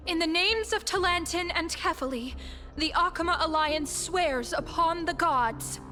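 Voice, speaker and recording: A woman's voice: very high-pitched, a little slow, bright, forceful tone, soft background music, heavily acted. A woman playing a young council delegate in a dramatic fantasy game. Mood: solemn.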